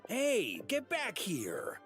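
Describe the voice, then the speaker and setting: An actor playing a middle-aged grand master of knights in an anime game, exasperated, lecturing, yet kindly. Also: male voice, high-pitched, extremely slow, exaggerated voice. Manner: sighing, lecturing, expressive. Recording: music behind the voice.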